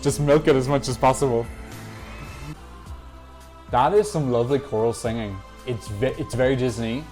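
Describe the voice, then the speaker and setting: A man's voice: mid-range voice, normal speed, long pauses, a little mellow. A man hosting a Eurovision reaction video, giving chatty, opinionated commentary and correcting himself as he goes. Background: faint music from the songs being reacted to.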